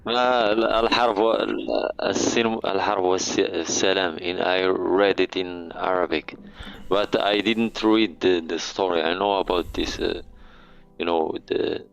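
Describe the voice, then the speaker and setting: Halting man, deep gravelly. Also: fairly even intonation, soft background music, poor audio. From Morocco. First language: Amazigh. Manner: halting, searching for English words. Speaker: guest. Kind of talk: casual chat.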